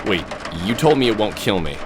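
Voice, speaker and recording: Wry middle-aged game hero, very animated. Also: male voice, fairly low pitch, fairly quick, background noise. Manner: dry, sardonic, casual. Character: wry, weary middle-aged man with cybernetic arm. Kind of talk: video game voice acting.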